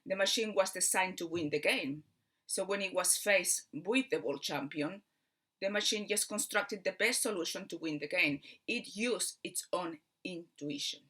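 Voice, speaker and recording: A woman with a Spanish accent speaks in a bright, clear tone, sitting a little low in her range. She talks like a mind coach giving a spiritual lesson, earnest and patient, pausing on fillers as she explains things step by step. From Spain. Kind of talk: spiritual teaching talk.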